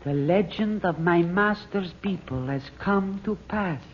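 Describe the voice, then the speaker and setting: An actor playing a devoted Egyptian manservant, formal, solemn and deferential, in a vintage radio drama. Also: male voice, high voice, a little slow. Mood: awed, reverent. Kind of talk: 1940s radio drama acting. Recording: muffled, hissy old radio recording.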